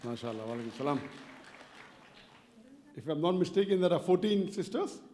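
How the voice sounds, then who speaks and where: Male lecturer with a high voice on a stage mic, courteous and deliberate, leads the crowd through call-and-response lines. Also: animated, dark tone, slight background noise. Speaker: Islamic lecturer. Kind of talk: public religious lecture. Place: lecture hall. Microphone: stage microphone.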